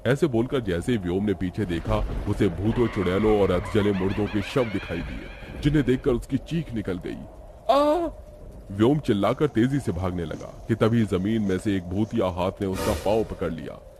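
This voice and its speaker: A man's voice: low voice, warm tone, slight background noise. A man narrating a scripted horror story in a dramatic storytelling style.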